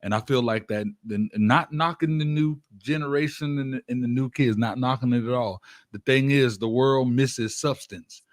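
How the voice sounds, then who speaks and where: A man's voice: mid-range voice, normal speed, no room sound, clean studio sound. A man hosting a hip-hop radio show, chatting casually with slangy, affirming hype.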